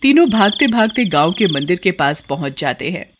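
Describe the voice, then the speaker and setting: A woman's voice: lowish voice, very animated, normal speed, noisy background. A woman reading scripted story narration as the narrator.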